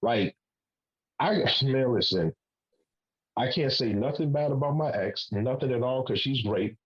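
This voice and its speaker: A man's voice: medium pitch, lively intonation, unhurried. Emphatic forty-something man, dull recording. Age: 40s. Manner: emphatic, preachy, swears casually. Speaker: podcast host. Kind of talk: casual panel discussion.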